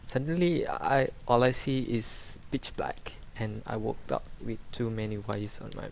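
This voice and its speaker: A young man playing a despondent cancer patient in an amateur radio drama, halting and resigned. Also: lowish voice, vocal fry, darkish tone, a little hiss or hum, rough recording.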